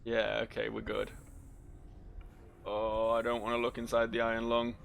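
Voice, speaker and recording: A creaky-voiced guy on a horror let's-play channel, joking, swearing and hamming up the logs over faint background noise. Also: medium pitch, monotone, moderate pace, fairly bright tone. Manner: jokey, sweary, theatrical when reading aloud. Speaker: video game let's-player. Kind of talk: casual game commentary, reading entries aloud.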